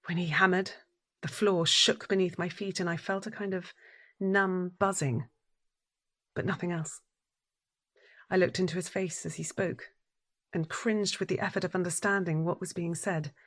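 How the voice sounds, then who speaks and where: An actress playing a troubled, withdrawn wife who has lost her hearing, narrating an audio drama in a breathy, deliberate, introspective way. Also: lowish voice, fairly even intonation, fairly bright tone. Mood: withdrawn, reflective. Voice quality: breathy, husky.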